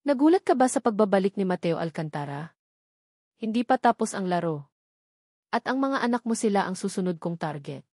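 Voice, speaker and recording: A woman's voice: medium pitch, expressive, brisk, a little mellow, no room sound, natural voice. Lady doing a cold threatening bad guy in a romance story, dry recording. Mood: cold, menacing. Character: cold, menacing male villain, calmly threatening.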